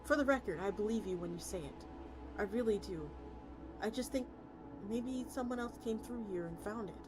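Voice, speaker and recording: A woman's voice: on the high side, expressive, moderate pace, lightly acted. A woman voicing a practical, sympathetic woman from a search team in a story, reasoning tactfully. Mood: sympathetic, doubtful. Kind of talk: character reading of a story. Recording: loud music behind the voice.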